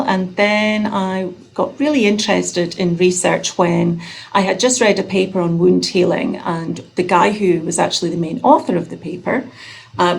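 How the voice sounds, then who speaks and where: A woman with a Scottish accent, in her fifties, talking in a chatty, self-deprecating way. Her voice sits low with a gravelly edge, heard through a video call. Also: rough recording. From Scotland. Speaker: guest nurse researcher.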